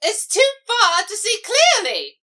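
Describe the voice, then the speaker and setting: A woman's voice: very high voice, huge pitch swings, unhurried, bright, forceful tone, exaggerated voice. A woman voicing a haughty, grumbling villain sorceress in a cartoon fan dub, imperiously muttering to herself. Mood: baffled scheming.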